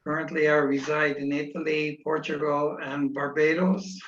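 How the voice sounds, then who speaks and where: A man's voice: on the high side, flat delivery, normal speed, a bit bright, slight background noise. A man facilitating an online meeting, speaking informally with polite, hesitant phrasing. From Netherlands. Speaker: session facilitator. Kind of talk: unscripted introduction at an online meeting. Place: remote video meeting. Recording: echoey, dull online meeting audio.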